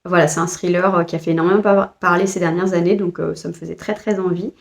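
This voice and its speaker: A woman's voice: on the low side, steady tone, fast, vocal fry. A French woman vlogger chatting casually about books she bought, hesitant with frequent fillers and restarts. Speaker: book vlogger. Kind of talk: casual book haul chat.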